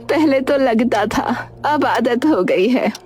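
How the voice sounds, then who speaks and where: A woman's voice: fairly high pitch, animated, slow, darkish tone, soft background music. A woman playing a poor, meek old village widow in a cartoon story, hesitant and pleading. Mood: humble, wary. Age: older. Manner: hesitant, pleading, stammers when upset. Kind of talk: Hindi cartoon story acting.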